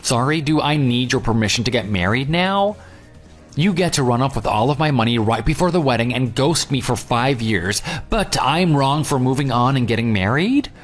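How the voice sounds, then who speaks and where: A man's voice: medium pitch, animated, moderate pace, natural voice. A man playing a self-assured, unyielding jilted groom who leads and narrates an audio drama in a dry, sarcastic manner. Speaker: narrator and lead character. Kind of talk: audio drama dialogue and first-person narration. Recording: music bed under the voice. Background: background music.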